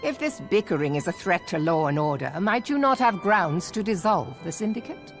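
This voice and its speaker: A woman's voice: mid-range voice, sing-song, moderate pace, soft background music. An actress playing a stern, composed female admiral in a fantasy game, blunt and authoritative. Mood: stern, concerned. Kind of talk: formal fantasy game voice acting.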